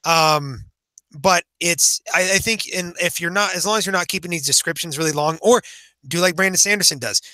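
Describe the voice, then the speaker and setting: Bright-voiced, higher-pitched man chatting. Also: normal speed, no echo. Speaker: podcast co-host and fantasy author. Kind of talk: casual podcast chat.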